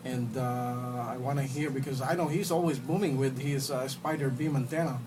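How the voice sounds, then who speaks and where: A man talking casually as an amateur radio operator, halting and repeating phrases, in a noisy phone recording. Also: medium pitch, fairly even intonation, recorded from a distance, music in the background. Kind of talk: casual ham radio contact. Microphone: phone recording in radio room.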